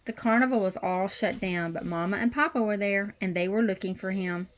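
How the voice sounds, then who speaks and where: A woman reading a children's picture book aloud as a storytime host, with expressive storytelling. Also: mid-range voice, a little fast. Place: messy playroom at home. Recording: noisy home room with clicks.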